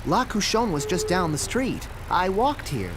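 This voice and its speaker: A man voicing a hapless, literal-minded bee sidekick in an animated game cutscene. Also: high-pitched, a little slow, background noise. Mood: bemused.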